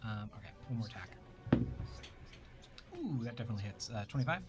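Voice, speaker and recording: A man's voice: on the low side, lively intonation, brisk. A man from Canada playing a tabletop game, chatting casually and thinking aloud as he works things out. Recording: music behind the voice. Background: background music.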